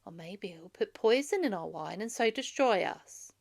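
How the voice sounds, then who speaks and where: A woman's voice: mid-range voice, expressive, normal speed, vocal fry. A woman voicing arrogant male suitors, scheming villains who mock in a storybook reading.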